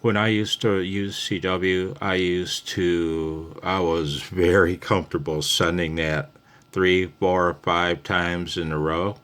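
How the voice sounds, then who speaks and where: A man with a very deep voice talks slowly through an informal tutorial. He speaks off the cuff, wandering conversationally from point to point as he thinks out loud. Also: little pitch movement, clear, resonant tone. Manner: rambling, conversational, thinking aloud. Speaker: amateur radio hobbyist giving a tutorial. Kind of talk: casual, unscripted tutorial.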